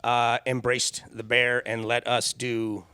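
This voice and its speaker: A man with a raspy, nasal voice, co-hosting a charity gala with self-deprecating jokes. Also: mid-range voice, monotone, slow, bright, forceful tone, dry recording. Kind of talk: banter-filled show hosting. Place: studio.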